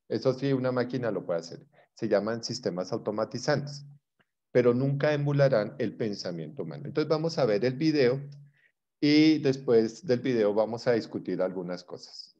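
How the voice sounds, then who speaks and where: A man's voice: mid-range voice, lively intonation, moderate pace, a little mellow, muffled recording. A man teaching a class informally, explaining by rephrasing and giving examples. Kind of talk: informal spoken lesson to a class.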